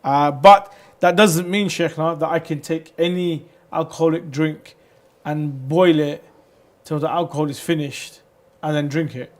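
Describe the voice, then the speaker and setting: Casting: male TV presenter reading viewer questions to a sheikh, lively delivery, a bit high-pitched. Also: a little slow. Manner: lively, reads messages aloud, stumbles on words. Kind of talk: live call-in Q&A hosting.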